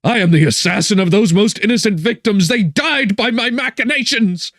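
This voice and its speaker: A man voicing a tormented young gentleman, despairing and declaiming in broken, impassioned phrases for an audiobook. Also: high voice, moderate pace, no room sound, studio quality, pitched above the performer's own voice. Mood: frantic anguish. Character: anguished, guilt-ridden young gentleman, frantic and despairing.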